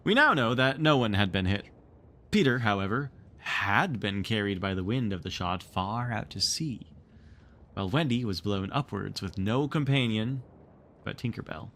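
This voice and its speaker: A man narrating a storybook read-along with expressive, theatrical storytelling. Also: fairly low pitch. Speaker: streamer reading a book aloud.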